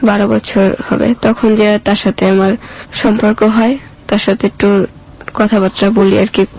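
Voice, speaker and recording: A woman's voice: mid-range voice, little pitch movement, measured pace, a little mellow, lo-fi sound. A woman with a colloquial Bangladeshi Bengali accent tells her life story as a guest, plain-spoken and casual, acting out remembered dialogue as she goes. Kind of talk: personal storytelling in casual speech.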